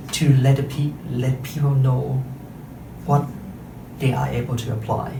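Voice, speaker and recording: A man's voice: medium pitch, recorded from a distance, noisy background. Thai-accented man in an echoey room. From Thailand. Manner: careful, measured, frequent pauses and self-corrections. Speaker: interpreter and translator giving a talk. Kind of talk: prepared talk to an audience.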